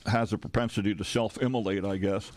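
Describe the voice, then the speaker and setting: An American man co-hosting talk radio in casual political chat, with a halting, hedging manner. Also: fairly low pitch, steady tone, a little mellow. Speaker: radio co-host. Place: radio studio.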